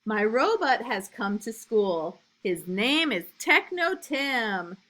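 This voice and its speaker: A woman reading a rhyming storybook as an eager, imaginative little boy, in an emphatic sing-song with drawn-out line endings. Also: a little high, sing-song, fairly bright tone, heavily acted. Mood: eager, excited. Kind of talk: rhyming storybook read aloud.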